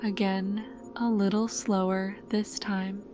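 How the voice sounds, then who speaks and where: Very slow, calm woman guiding sleep meditation. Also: medium pitch, music in the background. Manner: calm, soothing, unhurried. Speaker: guided sleep meditation narrator. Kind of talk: scripted guided meditation narration.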